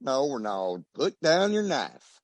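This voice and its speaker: An actor playing a nervous, guilty wealthy husband with a husky voice and a flustered, theatrical manner in an old-time radio detective drama. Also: male voice, mid-range voice, very animated, slow, dry recording.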